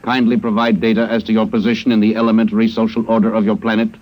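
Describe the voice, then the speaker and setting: A man playing a cold, precise alien conqueror in a 1950s radio drama, speaking in a flat, formal way. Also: on the low side, rapid-fire, dull audio, close to an everyday voice. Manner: flat, formal, emotionless speech. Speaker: alien captor.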